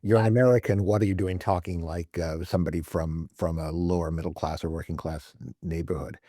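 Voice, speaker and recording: A man's voice: deep voice, fairly even intonation, a little fast, warm tone, no echo, studio quality. A man hosting a podcast, asking probing, rhetorical questions of his guest. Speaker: podcast host. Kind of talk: interviewer questions.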